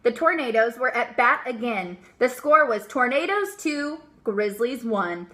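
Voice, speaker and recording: A woman giving an expressive, emphatic read-aloud of a children's storybook. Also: on the high side, measured pace. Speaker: storybook reader.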